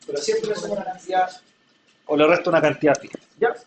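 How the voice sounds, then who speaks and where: A man's voice: sits high, quick pace, a little hiss or hum. A man teaching a class in a classroom, patiently explaining with everyday examples and often checking that students follow. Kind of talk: classroom lecture. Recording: echoey room, from far off.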